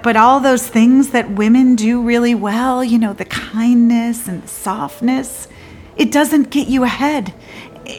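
An actress playing a disillusioned woman lawyer in a theatrical monologue, confiding in a halting, wry and bitter way with abrupt swings. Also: a little high. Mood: hurt, halting.

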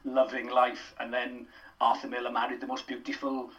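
A man's voice: medium pitch, monotone, normal speed, distant mic, dull audio, noisy background. A middle-aged Welsh man, a drama lecturer, chatting as a podcast guest with many fillers and restarts. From Wales. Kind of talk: casual podcast interview.

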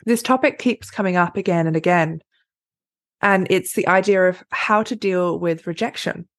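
A woman hosting her own podcast, a life coach giving a chatty, upbeat solo pep talk with a slightly husky, raspy voice. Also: fairly low pitch, moderate pace, dry recording, studio quality.

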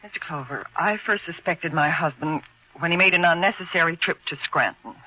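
An unsentimental widow in an old radio noir. Her voice has a very bright, tense edge, and she speaks in guarded, precise, measured phrases. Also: fairly low pitch, breathy edge, poor audio. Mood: tense, earnest. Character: cool, composed, unsentimental widowed housewife. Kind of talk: 1940s radio noir acting. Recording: old radio drama recording.

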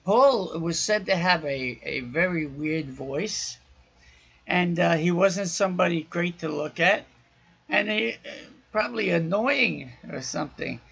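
Man, raspy and husky, loud raucous podcast preacher, muffled lo-fi recording. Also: high voice, animated, a little slow. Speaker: podcast host.

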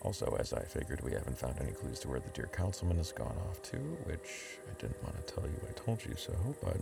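Deep-voiced, reluctant, grumbling sidekick. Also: male voice, big pitch swings, fairly quick, gravelly, exaggerated voice. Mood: practical, wry. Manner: hesitant, wry complaining. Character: reluctant, grumbling, nervous young man, unathletic sidekick. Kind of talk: full-cast audio drama acting. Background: music.

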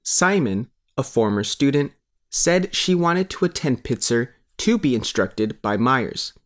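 A man's voice: medium pitch, animated, unhurried. Man reading a scripted news feature. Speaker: newspaper reporter reading his article.

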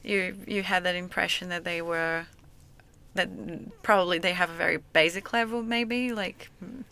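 A woman's voice: on the low side, fairly even intonation, normal speed, pushed and bright. A woman hosting a podcast in English, chatty and searching for words, laughing often. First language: not English. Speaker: podcast host. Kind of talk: casual conversation.

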